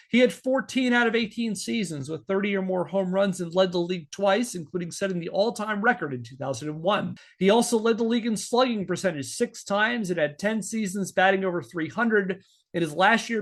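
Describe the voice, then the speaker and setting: A man with a noticeably high-pitched voice narrates a story as a podcast host. He slips between scripted-sounding narration and casual banter, with a playful, mock-serious delivery.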